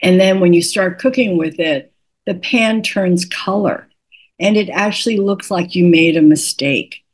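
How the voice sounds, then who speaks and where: An older woman, a cookbook author, patiently explaining cooking tips in an interview, her voice slightly raspy today. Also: lowish voice, a little slow. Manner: patient, instructive, methodical.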